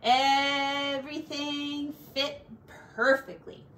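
Middle aged lady reading a kids book out loud, high voice, takes it real slow, bit of background noise. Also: flat delivery. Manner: slow, deliberate storytelling with drawn-out words. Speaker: teacher reading aloud to children. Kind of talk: expressive picture-book read-aloud with asides. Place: small furnished room at home.

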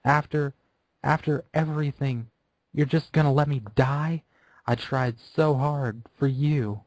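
Guy doing a crying little pony girl, begging, voice pushed up high. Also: steady tone, a little slow, a little mellow, no echo, natural voice. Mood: sobbing plea. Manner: tearful, pleading. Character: young female pegasus filly, desperate and heartbroken.

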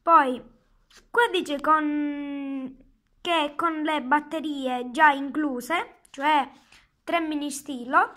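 Casual product reviewer, high voice, unhurried halting explanations. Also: fairly even intonation, pushed and bright. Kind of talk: casual hands-on product demonstration. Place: indoors at a table at home. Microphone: handheld phone.

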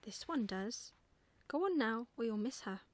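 A woman voicing a patient but firm mother owl in a storybook, gently giving instructions. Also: a little high, animated, measured pace, dry recording.

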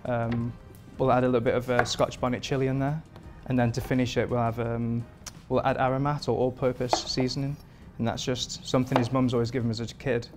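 A man in his thirties, a performance chef from England, answering interview questions in a relaxed, rambling way with many fillers, in a home kitchen. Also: medium pitch, a little fast, hardly any pauses, over music. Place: modern home kitchen indoors.

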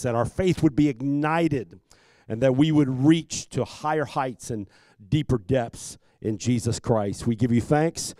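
A man in his fifties preaching a sermon as a pastor, speaking emphatically into a handheld microphone with deliberate repetition. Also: medium pitch, dark tone, no room sound. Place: church sanctuary stage at a pulpit. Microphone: handheld microphone held close.

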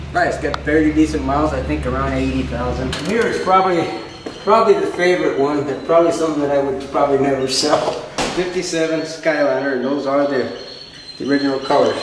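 A man's voice: a little high, far from the mic, background noise. Folksy older man in a roomy garage. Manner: laid-back, folksy, rambling about his cars. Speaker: car owner and collector. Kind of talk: casual chat. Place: garage full of classic cars. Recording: roomy garage sound from off mic.

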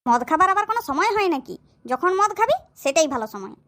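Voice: very high voice, very animated, fast, fairly bright tone, heavily acted. A performer voicing a shameless, easygoing village drunkard in a comic cartoon. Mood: cheerful, brazen.

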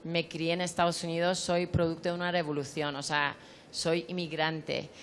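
A woman in her forties speaks on a panel in a large hall, her voice low and thoughtful as she circles her point with restarts and fillers. She talks into a handheld microphone while a faint hum of the room sits behind her. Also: level tone, normal speed. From Iran. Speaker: curator on a panel. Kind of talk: panel discussion answer. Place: large hall at an art fair.

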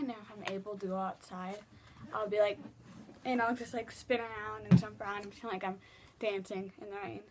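A woman's voice: mid-range voice, slight background noise. A teenage girl chatting casually in her bedroom, answering viewer questions with lots of fillers and restarts. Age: teens. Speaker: vlogger. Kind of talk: casual Q and A vlog. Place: small bedroom, indoors. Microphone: laptop webcam mic.

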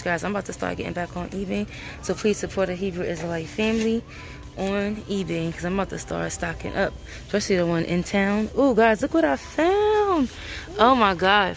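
A woman's voice: fairly low pitch, vocal fry. A woman from Brooklyn with a New York accent, chatting to viewers as she films a walk through supermarket aisles. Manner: chatty, repeats herself, addresses viewers as guys. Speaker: vlogger. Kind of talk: casual walkthrough shopping vlog. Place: large supermarket aisles, indoors.

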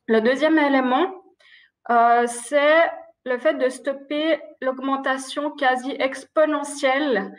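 A woman, a library director answering on a video call panel in a structured, measured way. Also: sits high. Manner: structured, measured, some fillers. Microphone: video call, laptop webcam.

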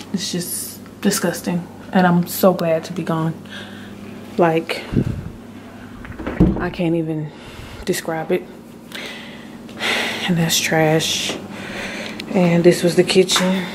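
A woman's voice: fairly low pitch, level tone, very slow, pauses often, noisy background. A woman in her thirties giving a casual, chatty tour of an empty house, talking to viewers on a handheld phone. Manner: chatty, relieved, addresses viewers as guys and y'all. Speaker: vlogger. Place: empty house, rooms and basement. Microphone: handheld phone camera.

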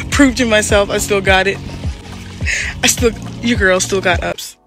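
Breathy woman vlogging on a hike. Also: mid-range voice, measured pace, a bit bright, faint music behind. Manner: chatty, playful and reflective, talks while walking. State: out of breath from hiking. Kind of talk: casual hiking vlog to camera. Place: outdoor forest trail. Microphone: phone held at arm's length.

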